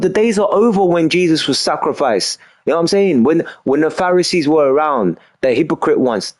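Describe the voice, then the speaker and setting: A young man holds forth in a loose, rambling monologue while he plays a game. His voice sits on the higher side for a man, and he keeps circling back with repeated points and tag questions. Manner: emphatic, repetitive, fills with "you know what I mean". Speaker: game streamer.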